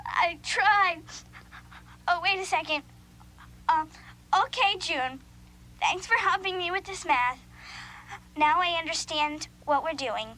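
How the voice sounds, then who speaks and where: A woman's voice: very high-pitched, takes it slowly, long pauses, bright, forceful tone, full character voice. An actress playing a timid, earnest young schoolgirl in a scripted audio drama. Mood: tearful, distraught, then covering up. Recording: noisy old cassette transfer.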